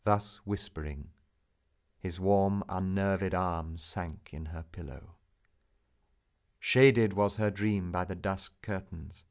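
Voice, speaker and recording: A man with a fairly low voice recites narrative verse very slowly. His delivery is solemn and theatrical, measured in the manner of a dramatic poetry reading.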